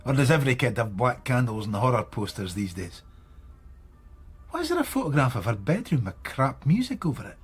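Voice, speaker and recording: An actor playing a weary middle-aged police detective in a radio drama, with a Scottish accent and a dry, wry manner. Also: male voice, medium pitch, big pitch swings, a little fast, husky. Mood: puzzled.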